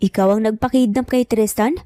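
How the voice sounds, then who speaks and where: A woman's voice: fairly high pitch, steady tone, a little fast, dark tone, dry recording, studio quality, natural voice. A woman voicing an angry, defiant captive mother in an audio story, scolding her captor.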